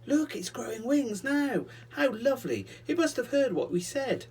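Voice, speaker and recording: A man voicing an excited young girl in a storybook reading, with a high, eager voice. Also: normal speed, far from the mic, a little hiss or hum, heavily acted. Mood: delighted.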